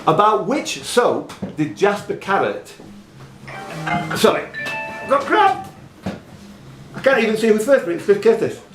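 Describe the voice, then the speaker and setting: A man's voice: high-pitched, big pitch swings, a little slow, long pauses. A man hosting a live pub quiz, reading out questions with jokey, teasing, chatty asides. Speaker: quiz host. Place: indoors in a pub. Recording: roomy echo, faint music behind.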